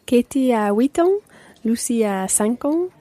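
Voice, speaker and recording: A sociable French mother played by a woman in a learner's radio play. Her fairly high voice has a soft, mellow tone and a measured, clear delivery. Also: expressive, dry recording. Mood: sociable, warm. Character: sociable French mother and part-time English teacher.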